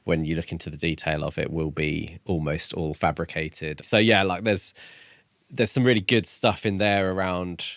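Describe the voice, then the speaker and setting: A man's voice: low voice, level tone, moderate pace, a bit bright, dry recording, clean studio sound. A man co-hosting a podcast, talking casually and reflectively as he pieces together an analysis of a book. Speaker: podcast co-host.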